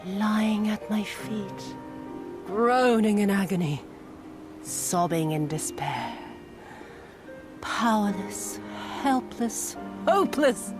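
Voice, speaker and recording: A woman's voice: mid-range voice, animated, music in the background. An actress voicing a cruel, sadistic female spy villain in a video game cutscene, theatrically bitter and savoring each dramatic word. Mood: sadistic, rapturous. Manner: slow, dramatic, savoring words, dropping to whispers.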